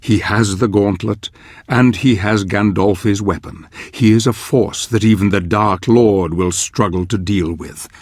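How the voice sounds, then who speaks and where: An actor playing a grave, foreboding older chronicler, narrating a dark fantasy game in a solemn, theatrical, measured manner. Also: male voice, fairly low pitch, no room sound, clean studio sound. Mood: solemn, ominous.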